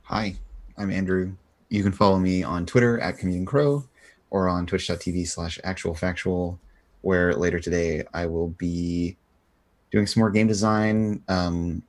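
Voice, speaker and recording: Very low-voiced relaxed man. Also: measured pace. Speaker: game designer. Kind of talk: casual chat.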